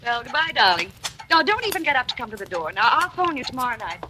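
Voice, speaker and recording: A chirpy, gushing wife. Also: female voice, on the high side, very animated. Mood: breezy. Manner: brisk, chattering, gushing. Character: chirpy, sociable wealthy wife. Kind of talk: 1940s radio acting. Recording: old radio broadcast with audible hiss.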